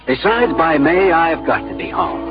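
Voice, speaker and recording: An actor playing an earnest, devoted young frontier farmer in theatrical 1950s radio drama style. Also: male voice, a little high, animated, moderate pace, close to an everyday voice. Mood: cheerful, determined. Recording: muffled old radio broadcast with music.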